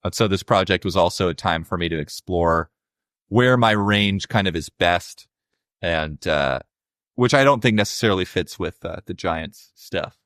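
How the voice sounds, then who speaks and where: A young adult man with a low voice, a musician guest talking casually and reflectively in an interview, with many fillers. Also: moderate pace, a bit bright, no room sound, studio quality.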